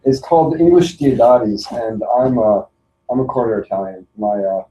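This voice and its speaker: A man's voice: mid-range voice, expressive, normal speed, mellow. A man in casual chat, making dry, wry remarks. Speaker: club member. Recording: echoey room with faint noise.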